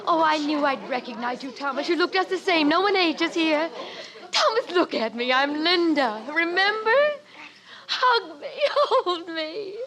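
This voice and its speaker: An actress playing a desperate, clinging woman in a 1950s radio drama, pleading effusively in a shrill voice. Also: animated, a little slow, exaggerated voice. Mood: desperate, overjoyed. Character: desperate, clinging abandoned woman greeting her lost lover. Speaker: radio actor. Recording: old radio recording with crowd.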